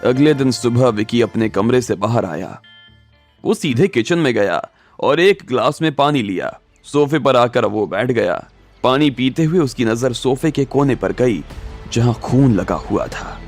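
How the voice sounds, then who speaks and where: A male narrator reads a scripted dramatic story. His voice sits at a medium pitch. Faint music plays behind the narration. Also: animated, unhurried.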